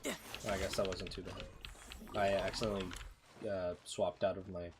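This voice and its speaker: A man's voice: deep voice, little pitch movement, normal speed. A man doing casual gameplay commentary as a streamer, muttering to himself while he plays. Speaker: game streamer. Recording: noisy mic with keyboard clicks. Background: typing, mouse clicks, background noise.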